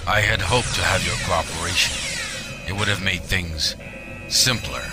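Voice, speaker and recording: An actor playing a ruthless military general in a science fiction audio drama, cold, grave and deliberate in manner. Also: male voice, sits low, loud music behind the voice, lightly acted. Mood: quietly menacing.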